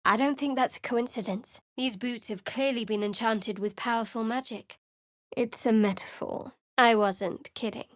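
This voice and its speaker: Game cleric, woman, dry and guarded, a touch high, close dead-dry studio sound. Actress plays her. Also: moderate pace, a bit bright, no echo, close to an everyday voice. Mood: guarded, wry. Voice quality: dry, clipped and wry. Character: guarded sharp-tongued young female cleric. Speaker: game character. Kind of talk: video game voice acting.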